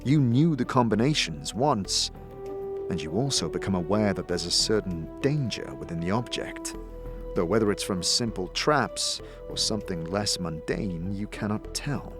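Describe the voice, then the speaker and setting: Lowish-voiced man narrating fantasy, highly expressive. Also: normal speed, music in the background. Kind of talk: dramatic scripted second-person fantasy narration.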